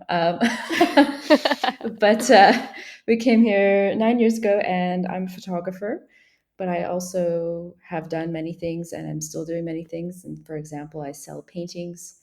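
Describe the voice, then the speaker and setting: A woman from Montreal with a low voice answers interview questions casually. She is chatty and self-deprecating, with an easy, conversational flow. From Montreal, Canada. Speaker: interview guest.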